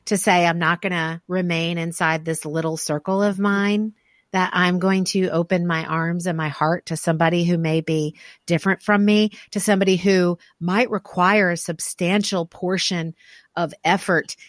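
A woman's voice: fairly low pitch, fairly even intonation, moderate pace, no echo, clean studio sound. A woman hosting a podcast interview, talking in a reflective, affirming way, with frequent fillers and restarts. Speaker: podcast host. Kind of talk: conversational interview.

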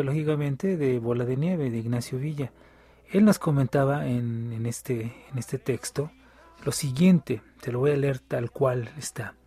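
Husky radio host. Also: male voice, medium pitch, dark tone, no room sound. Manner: measured, reading aloud with filler pauses. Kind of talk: radio music program, reading from a book.

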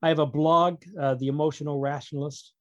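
Man in dry-sounding, halting interview talk. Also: fairly high pitch, flat delivery, no room sound, lo-fi sound. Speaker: guest author and producer. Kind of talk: casual interview conversation.

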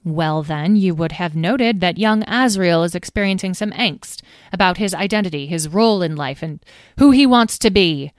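A woman's voice: on the low side, moderate pace, no room sound, studio quality, lightly acted. A woman voicing a proud, imperious fairy leader in a storybook who snaps and lectures the others. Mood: lecturing. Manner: snappish and haughty.

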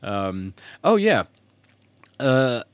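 A man's voice: medium pitch, big pitch swings, drawn-out words, no room sound. A man hosting a podcast in casual solo chat, jokey and informal in his delivery. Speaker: host. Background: music playing under the voice.

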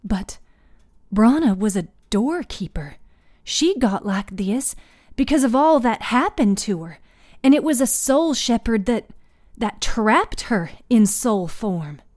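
A woman's voice: fairly high pitch, expressive, takes it slowly, darkish tone, no echo, clean studio sound. A woman voicing an earnest, questioning young woman in a storybook fantasy tale. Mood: puzzled.

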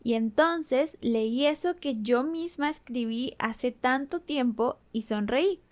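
Young Colombian woman, slightly high voice, clear and measured podcast host narrating cheerfully. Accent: Colombian, Bogotá. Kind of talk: scripted Spanish-learning podcast narration.

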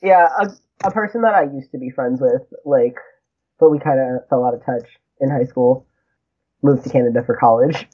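A young man with a high voice, talking in a chatty, rambling way with lots of "like" and small laughs. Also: normal speed. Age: young adult. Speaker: guest, graduate student. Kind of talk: casual conversation. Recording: tinny phone-like husky sound.

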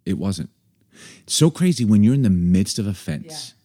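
A man co-hosting a casual faith podcast, animated and jokey, often laughing. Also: lowish voice, normal speed. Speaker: podcast co-host.

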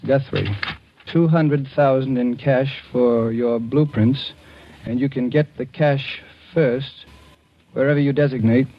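A terse, hard-boiled radio thriller hero. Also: male voice, mid-range voice, fairly even intonation, slow pace. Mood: tense, guarded. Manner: terse, commanding. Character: brusque, hard-boiled male inventor framed for murder. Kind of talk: 1940s radio thriller acting. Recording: muffled, noisy 1940s radio recording.

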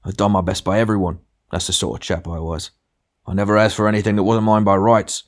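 A man's voice: fairly low pitch, little pitch movement, quick pace, natural voice. A man voicing a big, sulky working-class male ghost in an audiobook, self-righteous and argumentative, full of blustering grievance. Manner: blustering, argumentative, punctuating with demands. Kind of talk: literary audiobook character dialogue.

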